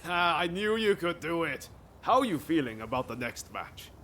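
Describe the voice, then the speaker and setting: A man's voice: on the high side, big pitch swings, moderate pace, sharp tone. A man playing a breezy, encouraging warrior comrade in a video game cutscene, speaking casually. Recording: clear background ambient noise.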